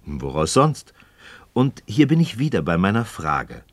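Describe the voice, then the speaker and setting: An actor playing an inquisitive, skeptical but courteous friend in a radio drama, speaking in a deliberate, questioning way. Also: male voice, fairly low pitch, sing-song, no room sound. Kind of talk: scripted philosophical dialogue in German radio drama.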